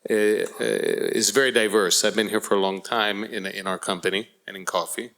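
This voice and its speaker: A middle-aged American man speaking as a guest on a panel, choosing his words deliberately with halting phrasing. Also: lowish voice, steady tone, vocal fry, a bit bright. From Florida, United States. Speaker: panel guest, company executive. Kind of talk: panel discussion, explanatory.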